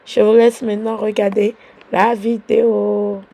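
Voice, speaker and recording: Slow, gravelly Beninese mom with a cold. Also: female voice, mid-range voice, flat delivery, dark tone. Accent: Beninese French. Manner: unhurried, chatty, fills pauses with "voilà". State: has a cold and sore throat. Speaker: mom vlogger. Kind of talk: casual home vlog narration.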